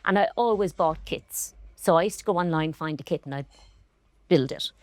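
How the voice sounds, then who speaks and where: A middle-aged Irish woman with a Cork lilt and a lowish voice, talking in an interview. She is earnest and reflective, with a clear pride in her heritage. The sound is dry and close, with no room around it. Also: moderate pace, no room sound. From Ireland. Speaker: textile artist guest. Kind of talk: relaxed interview conversation.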